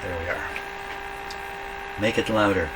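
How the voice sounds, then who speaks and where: Deep voice guy tinkering and thinking out loud, halting, informal repair demo, really noisy humming workshop. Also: steady tone. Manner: thinking aloud while working, halting. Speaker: radio repair hobbyist demonstrating. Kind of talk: informal hands-on repair demonstration. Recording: loud mains hum and workshop noise.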